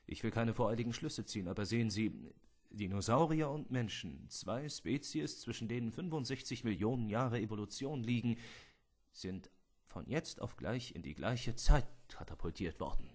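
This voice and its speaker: A man playing a cautious, thoughtful dinosaur scientist with a measured, reserved manner in a film scene reenactment. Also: lowish voice, animated. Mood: uneasy.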